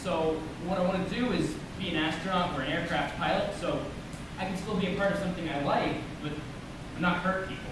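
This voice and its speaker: Guy acting anxious literal young man in a play, high voice, really echoey and far from mic. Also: brisk, lightly acted. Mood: earnest, shy. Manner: literal, over-explaining, earnest. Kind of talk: live stage play acting. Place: theatre stage, recorded from a distance. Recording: very echoey noisy stage recording from afar.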